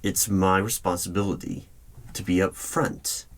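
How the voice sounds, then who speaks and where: A man playing a polite, guarded hiring manager in an ASMR interview roleplay, speaking aloud in a measured, formal, deliberate way with pauses. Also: deep voice. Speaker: roleplay performer as job interviewer. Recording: steady noise with keyboard typing clicks. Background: computer keyboard typing and clicks.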